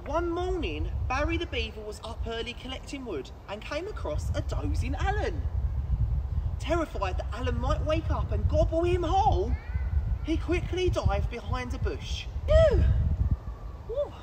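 Children's storyteller: man, twenties, expressive and projected, lively swings in pitch, outdoor recording with background noise. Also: normal speed. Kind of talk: animated picture-book read-aloud for children. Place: outdoors in woods, standing.

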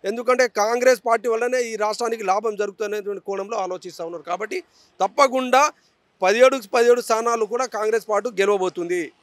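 A man's voice: high-pitched, fast, dry recording. A man gives emphatic, repetitive assurances as a politician interviewed outdoors on a handheld mic. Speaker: politician seeking election candidacy. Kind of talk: news interview answers. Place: outdoors on a city roadside. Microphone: handheld reporter's microphone.

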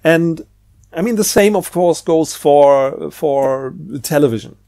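A man's voice: a little high, slow, dark tone. A middle-aged man talking casually and unscripted about books, hesitant and rambling, often repeating words as he thinks aloud. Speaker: book reviewer.

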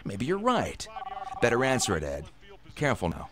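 An actor playing a menacing robber in a radio drama, taunting and sarcastic with a false friendliness. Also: male voice, medium pitch, huge pitch swings, normal speed, noisy background. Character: menacing, mockingly friendly male robber posing as FBI agent.

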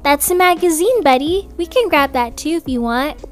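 A woman's voice: high-pitched, animated, normal speed, faint music behind. A woman playing an upbeat, chatty young mother in an improvised family roleplay, casual, reassuring and gushing. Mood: friendly, organised.

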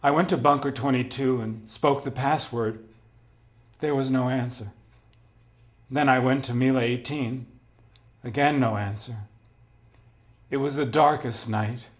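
A haunted resistance survivor, played by a man in his fifties, recounts events in a solemn dramatic reading. His voice is medium in pitch and somber. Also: steady tone, a little slow, long pauses, close to an everyday voice.

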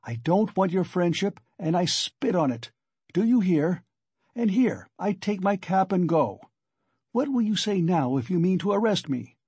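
A male reader plays a tormented, haughty suspect in a dramatic novel reading. His voice is raised high and rough with huskiness, in a very dry recording. He is imperious and agitated, seething with fury. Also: expressive, moderate pace, few pauses, a little mellow, no room sound, heavily acted. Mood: defiant fury. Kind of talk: dramatic audiobook character reading.

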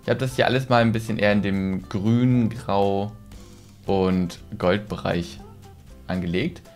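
A man's voice: lowish voice, fairly even intonation, measured pace, darkish tone. A young man giving a casual, playful tour of a game as a video host, speaking into a close desk microphone indoors. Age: young adult. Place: small room at home, indoors. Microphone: desk microphone on boom arm, close. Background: background music.

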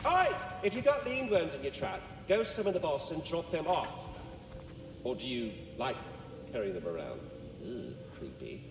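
A man's voice: on the high side, sing-song, normal speed, background noise. A man voicing a rude, impatient ghostly squirrel in a video game, brash and snappy. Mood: impatient, mocking. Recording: distant, echoey reverb with music behind.

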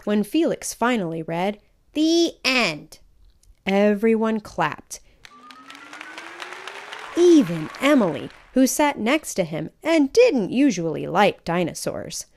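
A woman narrates a children's story in an expressive read-aloud style. Her pace is slow and deliberate, and her pitch rises and falls animatedly. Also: soft background music, some chatter behind. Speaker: storybook reader.

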